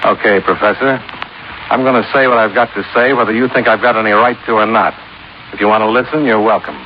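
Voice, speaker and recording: An actor playing a tough, unflappable insurance investigator in a radio drama, terse, wry and hard-boiled. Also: male voice, lowish voice, steady tone, fast talker, lightly acted. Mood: firm, defiant. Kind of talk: 1950s radio drama acting with scripted narration. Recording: muffled, noisy old radio recording.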